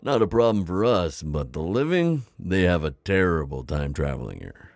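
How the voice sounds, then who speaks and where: A man playing a friendly, wise old vampire innkeeper in an ASMR roleplay, with a deep gravelly voice and a chatty, reassuring manner. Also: sing-song, moderate pace, darkish tone, no room sound. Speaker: roleplay audio performer. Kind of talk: immersive ASMR roleplay acting.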